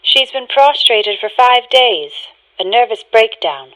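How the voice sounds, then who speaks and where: A woman's voice: fairly high pitch, animated, a little slow, a bit bright, rough recording. An actress playing a composed, formal woman answering a household telephone in a suspense radio play, polite and matter-of-fact. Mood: grave, matter-of-fact.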